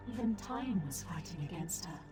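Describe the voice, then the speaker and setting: Game narrator: actress as secretive, caring woman, measured and grave, on the lower side, under prominent music. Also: animated, natural voice. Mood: solemn, wistful. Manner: measured, solemn storytelling. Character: woman narrator Gale, secretive, tender, solemn guardian recounting tragedy. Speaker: story narrator. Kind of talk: scripted dramatic narration.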